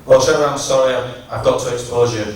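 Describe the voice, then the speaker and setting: A man's voice: mid-range voice, fairly even intonation. Man giving a speech in an echoey hall. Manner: teasing, rhetorical, deliberate storytelling. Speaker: after-dinner speaker at a tribute dinner. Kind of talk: humorous tribute speech to a live audience. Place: large function room at a dinner, distant microphone. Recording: echoey noisy hall, from far off.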